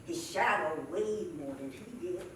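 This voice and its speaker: A woman's voice: fairly low pitch, unhurried, natural voice. A woman playing a mischievous old female stand-up comic, a gleefully wicked widow with a folksy drawl, delivering a theatrical comedy monologue. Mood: confiding, mock-innocent. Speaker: stage performer impersonating a classic comedienne. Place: indoor stage performance, distant microphone. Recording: roomy, noisy stage recording from far off.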